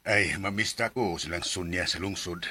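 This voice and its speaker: An actor playing a stubborn, complaining seventy-year-old farmer in a radio drama, with a Visayan Philippine accent and folksy way of talking. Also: male voice, deep voice, normal speed, bright, forceful tone, slight background noise. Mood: playful, excited. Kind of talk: radio drama acting with first-person narration.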